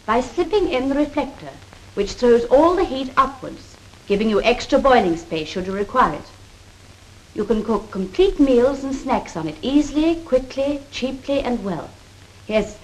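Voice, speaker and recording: Woman acting a high-voiced 1930s British cookery demonstrator. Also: darkish tone. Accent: 1930s British Received Pronunciation. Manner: precise, instructive, proper. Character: brisk, assured woman cookery demonstrator advising a housewife. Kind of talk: scripted 1930s instructional film delivery. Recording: worn, noisy 1930s film soundtrack.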